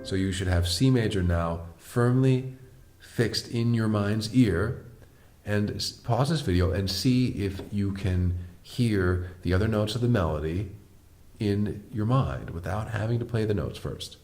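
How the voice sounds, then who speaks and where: A man with a deep voice teaching a piano lesson, patiently explaining step by step and asking the viewer questions. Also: expressive, unhurried, darkish tone. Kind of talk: online music lesson.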